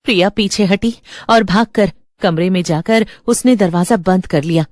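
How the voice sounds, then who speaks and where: A woman's voice: mid-range voice, normal speed, a little mellow, dry recording, studio quality. A woman narrator reading a scripted horror story in a dramatic storytelling style.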